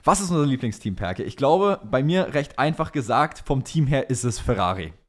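A man's voice: medium pitch, very animated, normal speed. A young man co-hosting a podcast in casual chat, using slangy talk peppered with English words. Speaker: podcast co-host.

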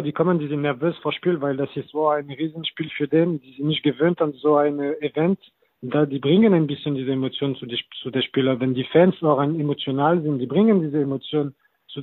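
A man in his thirties, a non-native German speaker, talking as a football coach guest with halting, hesitant phrasing. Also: fairly high pitch, no echo. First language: not German. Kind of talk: casual interview talk. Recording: tinny phone-like line, very dry.

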